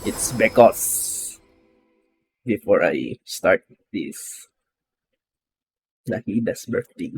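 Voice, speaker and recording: A man giving casual live gameplay commentary, speaking in halting short phrases and muttered reactions. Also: on the low side, animated, darkish tone, a little hiss or hum, poor audio. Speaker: game streamer. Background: faint game music.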